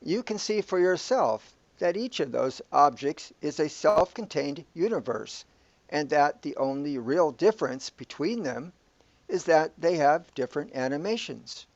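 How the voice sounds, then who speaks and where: Man acting as calm cryptic guide, slow measured speech, matter-of-fact, dry close sound, radio drama. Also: fairly high pitch, no echo. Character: calm, cryptic, all-knowing male guide. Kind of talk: sci-fi radio drama dialogue. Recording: dry, dull lo-fi audio.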